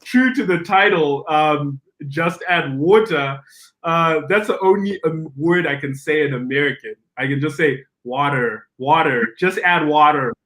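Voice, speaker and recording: Animated pastor telling his story. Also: on the high side, measured pace, poor audio. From Zimbabwe. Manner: animated, acts out quoted dialogue, frequent restarts. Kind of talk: conversational podcast storytelling.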